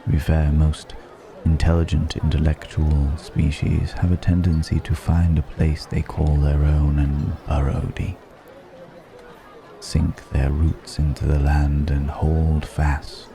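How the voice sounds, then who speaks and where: A man's voice: extremely low pitch, little pitch movement, slow pace, gravelly, a crowd in the background, exaggerated voice. A man playing a wry, curious ancient dragon passing as a stranger, musing in formal, archaic phrasing in an ASMR roleplay. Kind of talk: ASMR roleplay audio drama.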